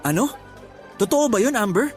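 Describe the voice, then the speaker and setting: A man's voice: very high voice, expressive, moderate pace. A young man acting a defensive, confused boyfriend pleading in a radio drama. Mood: shocked, confused. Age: young adult. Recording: music behind.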